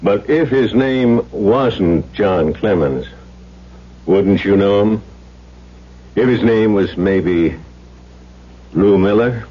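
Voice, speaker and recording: An actor playing a folksy, steady small-town sheriff, unhurried and plainspoken, in an old-time radio drama. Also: male voice, lowish voice. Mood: grave. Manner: unhurried, plainspoken, friendly but serious. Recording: dull old radio recording.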